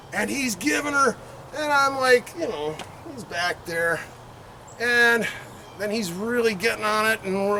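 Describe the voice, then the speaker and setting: A man's voice: high-pitched, sharp tone. Middle-aged man storytelling, far from the mic. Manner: rambling, acts out quotes and engine sound effects. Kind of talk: animated casual anecdote telling. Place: outdoor backyard patio. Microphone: camera several meters away. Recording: distant mic, outdoor background noise.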